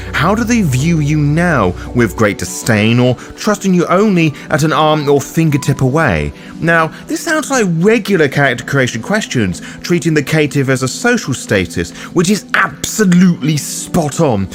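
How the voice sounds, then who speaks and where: A man hosting a guide, delivering scripted explanatory narration in a theatrical, florid manner. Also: fairly high pitch. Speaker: host of a roleplaying guide show.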